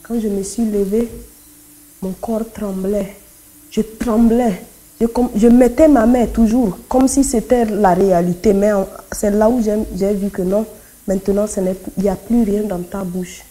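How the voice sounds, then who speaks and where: A woman's voice: mid-range voice, mellow, poor audio. A woman whose native language is Kotokoli, giving a personal religious testimony as a church speaker, telling it dramatically with emphatic repetition and acted-out dialogue. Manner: emphatic, repeats phrases, acts out quoted dialogue.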